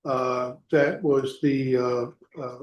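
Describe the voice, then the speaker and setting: Man, flat monotone, lawyer, halting, echoey room, faint hiss. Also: mid-range voice, dull audio. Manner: halting, fills with uh. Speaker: defense attorney. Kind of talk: courtroom address. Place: courtroom. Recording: echoey courtroom, faint noise.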